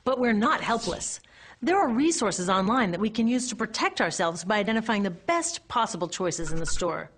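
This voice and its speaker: An American woman narrates a scripted explainer in a lively, animated voice at a middle pitch. Her delivery is conversational and wry, leaning on rhetorical questions. There is a faint noise in the recording. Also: moderate pace. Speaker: narrator and presenter.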